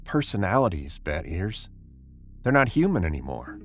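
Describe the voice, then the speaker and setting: An actor playing a commanding spaceship captain, terse and grim, in the style of old-time sci-fi radio drama. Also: male voice, low-pitched, sing-song, moderate pace, faint music behind. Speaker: actor and story narrator.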